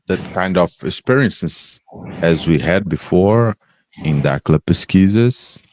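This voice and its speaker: A man, an English speaker, talking slowly and haltingly about spiritual experiences on a podcast, pausing often to search for words. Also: low-pitched, animated, darkish tone, no echo, rough recording. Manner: halting, searching for words, many pauses and fillers. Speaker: podcast co-host. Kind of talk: slow reflective spiritual chat.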